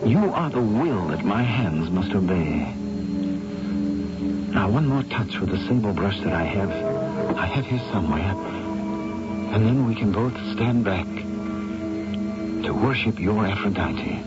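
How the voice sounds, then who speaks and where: An actor playing a troubled, obsessive painter in a radio mystery drama, speaking with theatrical intensity and hesitant repetitions. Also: male voice, lowish voice, expressive, measured pace, long pauses. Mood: awed, absorbed. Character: troubled, obsessive male painter, fearful and awestruck. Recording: muffled vintage radio broadcast, music underneath.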